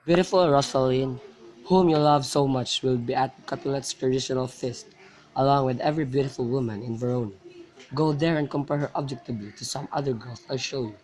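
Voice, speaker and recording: A man's voice: mid-range voice, brisk, darkish tone, lightly acted, rough recording. A man playing a teasing, persuasive young friend in an amateur radio drama.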